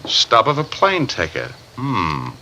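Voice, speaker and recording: Brisk, wisecracking radio detective, bright-voiced. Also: male voice, medium pitch, wildly swooping pitch, full character voice. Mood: musing, shrewd. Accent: American. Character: wry, confident private detective, adult man. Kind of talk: 1950s American radio detective drama acting. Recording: noisy old-time radio recording.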